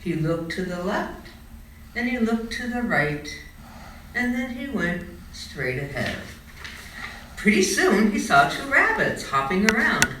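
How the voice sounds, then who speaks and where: A woman reading a children's storybook aloud in an expressive, dramatic storytelling style. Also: medium pitch, measured pace. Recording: echoey room, distant mic, faint noise.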